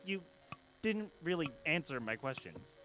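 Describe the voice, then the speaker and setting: A man's voice: on the high side, big pitch swings, measured pace, a bit bright, poor audio. A man playing a troubled, regretful mortal in a radio drama, speaking with earnest doubt and emotion. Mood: regretful pleading. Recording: voice under music.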